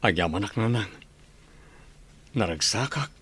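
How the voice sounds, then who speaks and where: A man's voice: lowish voice, lively intonation, measured pace, fairly bright tone, husky, full character voice. A man playing a downhearted grown son who pines for his wife, speaking in a subdued, sorrowful way in a radio drama. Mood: subdued, sad.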